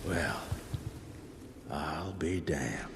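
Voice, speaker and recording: An actor playing a terse, surly, sardonic vampire hunter with a gruff, deadpan delivery in a game. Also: male voice, rumbling low, extremely slow, very noisy, exaggerated voice. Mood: dry surprise. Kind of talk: video game cutscene acting.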